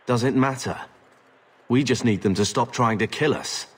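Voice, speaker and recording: An actor playing an earnest, protective young male warrior in a video game, dry and resolute with a husky voice. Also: medium pitch, normal speed. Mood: dry, practical. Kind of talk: video game character acting.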